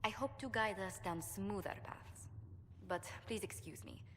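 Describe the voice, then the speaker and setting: A woman's voice: medium pitch, unhurried, a bit bright, close to an everyday voice. An actress voices a courtly female ambassador in a fantasy video game. She is composed and diplomatic, choosing her words formally. The voice rings in a large hall with a little noise behind it. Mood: gracious. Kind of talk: video game dialogue acting. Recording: long hall reverb, faint noise.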